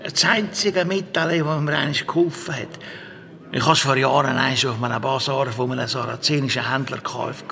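A man's voice: medium pitch, fairly even intonation, normal speed, gravelly, pushed and bright. A man with a Swiss German accent playing a secretive, teasing monk and herbalist in a children's audio drama. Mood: explaining.

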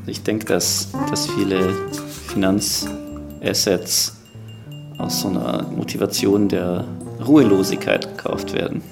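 Low, gravelly man explaining things. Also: fairly even intonation, takes it slowly. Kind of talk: reflective, explanatory discussion. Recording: prominent plucked guitar music bed. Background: plucked guitar music.

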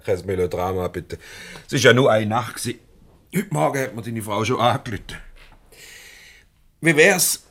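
An actor playing a shrewd, teasing boss and go-between in a 1970s Swiss German radio comedy, probing, wry and avuncular. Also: male voice, mid-range voice, natural voice. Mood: brisk, avuncular. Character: shrewd, teasing boss mediating a couple's quarrel.

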